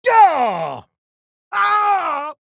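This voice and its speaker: An actor voicing a smug, erudite genius gremlin for a video game, with pompous, mock-genteel, theatrical diction that tips into gleeful mania. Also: male voice, very high-pitched, huge pitch swings, very slow, creaky, a bit bright, dry recording, studio quality, full character voice. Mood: wild, aggressive. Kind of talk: video game character voice lines.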